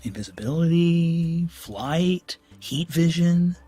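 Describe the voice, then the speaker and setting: A man's voice: fairly high pitch, expressive, slow pace, warm tone. An actor playing an awkward, eager young student tutor in an audio drama, stammering and joking as he rambles. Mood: curious, earnest. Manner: hesitant, stammering, jokey rambling. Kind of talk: naturalistic audio drama acting.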